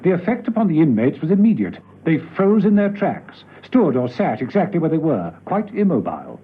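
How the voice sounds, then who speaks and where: A man's voice: on the high side, sing-song, a little fast, slightly rough. A man narrating a spy radio drama in a dramatic, scripted storytelling style. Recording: tinny, noisy old radio broadcast.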